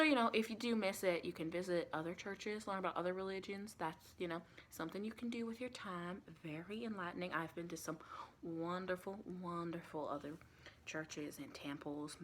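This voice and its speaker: A woman playing a chatty, scatterbrained female vampire recruiter in a softly spoken ASMR roleplay, often slipping into breathy whispers. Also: on the low side. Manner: rambling, flighty, sprinkles in pet names like sugar and sweetie. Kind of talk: ASMR roleplay, softly spoken interview with pauses for unheard replies.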